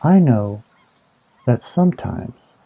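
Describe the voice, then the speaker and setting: A man leading a guided meditation in a slow, soothing, hypnotic manner, with long pauses between short phrases. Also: medium pitch, sing-song, vocal fry, warm tone, slightly rough. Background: ambient new-age music with singing bowls.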